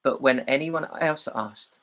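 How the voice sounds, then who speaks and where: A man reading a fairy tale aloud as a storyteller, in a measured and expressive way. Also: mid-range voice.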